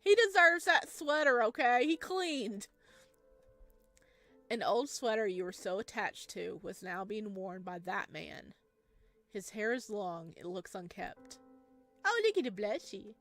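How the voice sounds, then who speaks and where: A woman game streamer with an unhurried delivery and a medium pitch. She reads story text aloud and reacts expressively and dotingly, with music playing behind her. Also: expressive, fairly bright tone. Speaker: streamer playing a visual novel.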